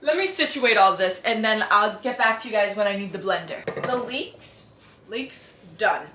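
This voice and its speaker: A woman's voice: mid-range voice, animated, normal speed. A young adult woman chatting informally and slangily to camera while cooking in a home kitchen, thinking aloud as she goes. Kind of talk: casual vlog chat while cooking. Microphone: camera set up a step away. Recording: echoey room, faint noise, kitchen clatter.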